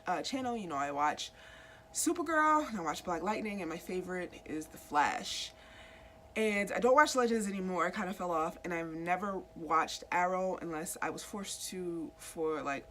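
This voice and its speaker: A woman's voice: lowish voice, expressive, normal speed, fairly bright tone, slight background noise. A woman in her thirties hosting a casual comic book news chat, a little stuffy from a cold, talking straight to her viewers and asking them questions. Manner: chatty, asks viewers questions directly. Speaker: YouTube host.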